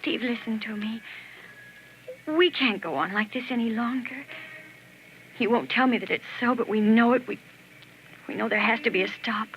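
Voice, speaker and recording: A woman plays an anxious, guilt-ridden other woman in 1940s radio melodrama. Her voice is high and earnest, heard through a dull old recording with light hiss. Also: moderate pace, pauses often, lightly acted. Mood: troubled. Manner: earnest, dramatic.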